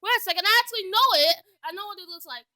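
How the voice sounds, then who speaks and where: A performer voicing a lovesick, boastful, foul-mouthed cartoon tomcat with a high, piercing voice and an excitable, stammering delivery. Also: rapid-fire, dry recording, studio quality, full character voice. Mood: excited. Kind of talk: improvised comedic cartoon fandub.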